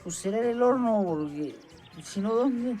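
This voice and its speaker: High-voiced, scatterbrained cartoon character. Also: male voice, lively intonation, unhurried, mellow, faint music behind. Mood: absent-minded.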